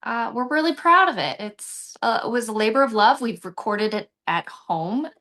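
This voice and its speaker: A woman's voice: a little high, animated, moderate pace, pushed and bright. An American woman from Texas talking casually and animatedly as an interview guest, telling personal stories. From San Antonio, Texas, United States. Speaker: interview guest, actress and swing band musician.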